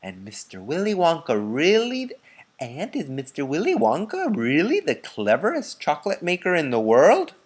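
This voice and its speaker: A man's voice: on the high side, wildly swooping pitch, moderate pace, pitched above the performer's own voice. A man voicing a polite, curious little boy in a children's storybook, earnest and full of questions. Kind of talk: children's storybook read-aloud.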